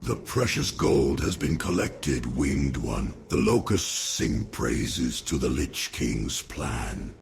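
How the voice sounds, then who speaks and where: A man voicing a giant undead spider lord in a fantasy game cutscene, gloating and crooning grandly in a creaking croak. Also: animated, slight background noise, exaggerated voice. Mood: pleased. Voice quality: deep gravelly creaking croak. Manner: slow, crooning, speaks grandly of himself.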